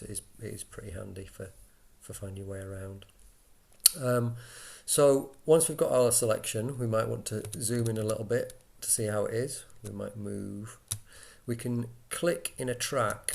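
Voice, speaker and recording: A man giving an informal software walkthrough, explaining step by step in a conversational way as an instructor. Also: lowish voice, vocal fry, a little hiss or hum.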